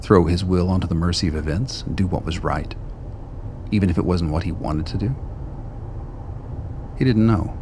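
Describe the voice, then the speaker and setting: A man's voice: deep voice, normal speed, long pauses, noisy background. A man reading scripted narration for a radio drama as its narrator.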